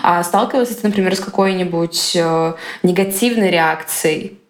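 A woman hosting an interview, asking questions and musing in a measured, thoughtful way. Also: on the low side, echoey room. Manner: thoughtful, measured, frequent "мгм" backchannels. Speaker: host, interviewer. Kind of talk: interview conversation.

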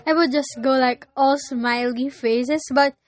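A young woman with a Filipino accent, whose first language is Filipino, hosting a casual solo podcast and often pausing to search for words. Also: high voice, fairly even intonation. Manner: hesitant, searching for words with many pauses. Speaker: podcast host.

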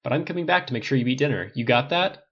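A man's voice: mid-range voice, animated, fast, dull audio, voice pushed up, close to an everyday voice. A man voicing a caring military man, a best friend with a dry, teasing manner. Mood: fond, teasing.

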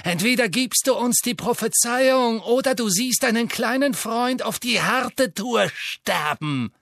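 A man voicing a cruel, manic dark witch who taunts her captives in a shrill, drawn-out way. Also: lively intonation, creaky, dry recording, full character voice. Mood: gloating.

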